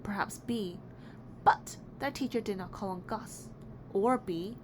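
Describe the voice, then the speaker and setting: A woman reading a children's picture book aloud in an expressive, deliberate storytelling way. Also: medium pitch. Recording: clearly noisy background.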